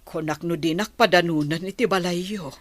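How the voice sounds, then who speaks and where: A woman's voice: fairly low pitch, normal speed, dry recording, lightly acted. A woman playing a humble, protective mother who pleads for her child in a radio drama. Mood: humble, hesitant. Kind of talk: Ilocano radio drama acting.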